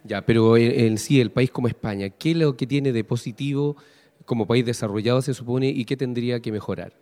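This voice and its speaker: A man's voice: medium pitch, brisk, dark tone. A Chilean man hosts a radio interview in a mid-range voice. He asks long, probing questions that wander along and are padded with fillers. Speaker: radio host. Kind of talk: interviewing a guest.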